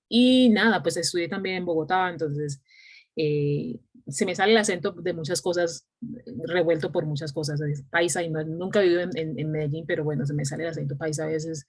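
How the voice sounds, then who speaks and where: A woman's voice: deep voice, steady tone, slightly rough. Island-raised Colombian woman teaching English. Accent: San Andrés islander. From San Andrés, Colombia. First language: Creole English. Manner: measured, with frequent fillers. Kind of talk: online class.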